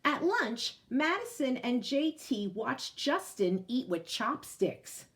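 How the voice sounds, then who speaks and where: A woman's voice: high-pitched, big pitch swings, takes it slowly, a bit bright. A woman reading a picture book aloud to children, explaining it and addressing young listeners directly as a teacher would. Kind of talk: expressive storybook read-aloud with asides to children.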